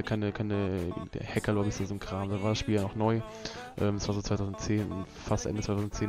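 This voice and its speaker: A young man from Berlin, rambling in a laid-back, casual way with many fillers and laughs, talking over gameplay footage. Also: on the low side. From Berlin, Germany. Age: young adult. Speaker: gaming YouTuber. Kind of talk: casual gameplay commentary vlog.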